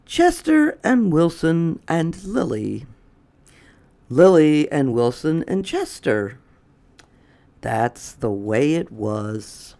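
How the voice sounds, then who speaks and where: A man's voice: a little high, sing-song, very slow, mellow. A man reading a children's storybook aloud as a storytime host. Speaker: storytime reader.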